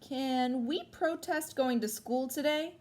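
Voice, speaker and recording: A woman's voice: high voice, slow pace, a little mellow, pitched above the performer's own voice. A woman reading the part of a curious young son asking questions in a picture book. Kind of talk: picture book read-aloud. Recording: noisy background.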